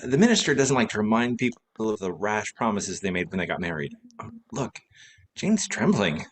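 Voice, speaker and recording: Man playing a lively, wisecracking detective husband. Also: on the low side, normal speed. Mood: wry, flippant. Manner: dry, flippant. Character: cheerful, wisecracking former private detective husband. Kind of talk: radio play acting.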